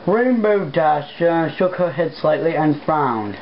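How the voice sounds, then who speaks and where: A woman's voice: low-pitched, animated, slow pace, dull audio, a little hiss or hum. A woman casually reading a story aloud as an amateur, informal and hesitating mid-sentence. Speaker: amateur fan fiction reader.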